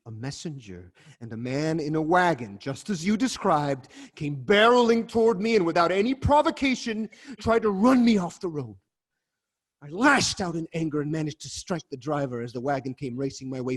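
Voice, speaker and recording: A man's voice: fairly high pitch, natural voice. An actor playing an anguished king who confesses a killing and curses himself, in a dramatic reading over a video call. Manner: swings from hushed dread to loud anguish.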